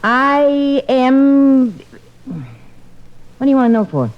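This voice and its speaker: A woman's voice: high voice, slow. A woman playing a patient, slightly puzzled homemaker in an old-time radio family comedy, obliging but mildly exasperated. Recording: muffled old radio recording.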